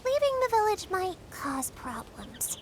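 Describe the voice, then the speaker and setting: A woman voicing a small, excitable young village creature in a video game, chatting and telling tales in an animated, earnest way. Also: extremely high pitch, a little slow, noisy background, heavily acted. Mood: cheerful, eager. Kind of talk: video game character dialogue. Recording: game music behind the voice.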